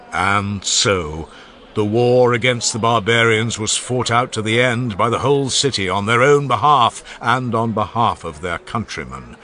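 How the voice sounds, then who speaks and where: A man's voice: mid-range voice, bright, forceful tone. A man reading a classical funeral oration as a dramatized audiobook, in a solemn, declamatory style with strong rises and falls of emphasis. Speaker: audiobook narrator.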